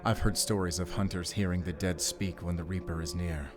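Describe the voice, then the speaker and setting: A man playing a gruff, guarded but protective werewolf hunter with a German accent, speaking deliberately in a cinematic audio drama. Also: low-pitched, steady tone, lightly acted. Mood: grave, protective. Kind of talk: cinematic audio roleplay acting. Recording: music behind the voice.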